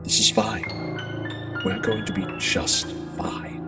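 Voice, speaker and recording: A man plays an unhinged family man in an audio drama, very low and slow. He broods and soothes himself as he confesses, distant under loud music. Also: animated. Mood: eerie, unhinged. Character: unhinged husband and father calmly confessing to murder.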